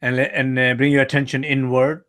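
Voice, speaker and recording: A man who is not a native English speaker, guiding a meditation as a teacher in gentle, halting short phrases with pauses. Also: medium pitch, flat delivery. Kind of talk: guided meditation instruction.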